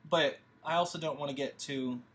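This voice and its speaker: A man's voice: on the high side, normal speed, fairly bright tone. A man leading a film table read, talking through plans in a rambling, hesitant way with many fillers. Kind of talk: casual discussion.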